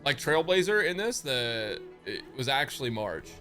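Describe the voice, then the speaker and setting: A man's voice: medium pitch, big pitch swings, moderate pace, sharp tone. A man streaming live reactions to a game, casual, slangy and jokey. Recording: game music behind the voice.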